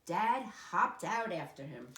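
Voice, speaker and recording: A woman reading a children's picture book aloud as a storyteller, in an animated narrating style. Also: lowish voice, takes it slowly. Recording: echoey room.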